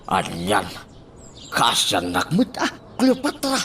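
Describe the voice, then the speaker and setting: A man's voice: on the low side, wildly swooping pitch, slow pace, background noise, full character voice. A man playing a put-upon husband in a radio drama, pleading and grumbling as he gives in. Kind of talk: Filipino radio drama acting.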